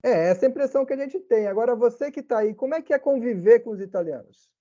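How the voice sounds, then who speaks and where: A man's voice: very high voice, animated, fast talker, dark tone, lo-fi sound. A man hosting an interview, asking questions with short interjections. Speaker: host. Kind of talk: casual interview chat.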